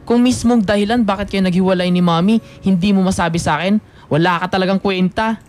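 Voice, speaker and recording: An actor with a Filipino accent playing an insolent, sarcastic student son in a radio drama, slipping between Tagalog and English. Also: male voice, high voice, steady tone, brisk, natural voice. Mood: accusing, bitter. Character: sullen, insolent young adult son, a student.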